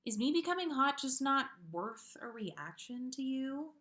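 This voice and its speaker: A woman's voice: high voice, very animated, unhurried, fairly bright tone, pitched above the performer's own voice, exaggerated voice. A woman voicing a clever young witch in a storybook reading, self-conscious, earnest and questioning. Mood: joking, earnest.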